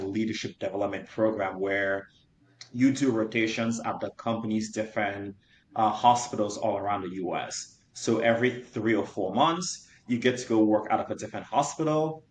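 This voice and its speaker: A young man from Cameroon, a startup founder, telling his backstory in an interview in an earnest, detailed way with many fillers. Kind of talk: interview answers. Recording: roomy echo, faint rumble.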